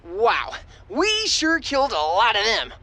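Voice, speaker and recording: A man voicing a small goofy creature that boasts in a squeaky, excited voice. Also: unhurried, full character voice. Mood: gleeful.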